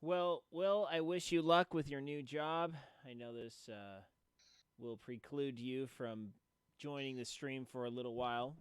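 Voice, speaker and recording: A man with a clear, smooth voice hosts a casual gaming livestream. He sits at a middling pitch, and the sound is close and dry. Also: unhurried, no echo. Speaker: livestream host. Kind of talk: casual gaming stream chat.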